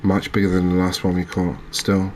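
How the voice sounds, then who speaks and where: A man giving quiet, unhurried ASMR-style commentary as he plays a video game, musing aloud with hesitant pauses. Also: sits low, monotone, a little hiss or hum. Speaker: host of a gaming channel playing a fishing game.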